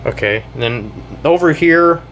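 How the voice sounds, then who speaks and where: A young American man giving a casual, off-the-cuff show-and-tell, talking in a halting, rambling way and often correcting himself. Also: on the high side, animated, a bit bright. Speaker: hobbyist video creator. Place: indoors in a house. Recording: noisy room with air-conditioner hum.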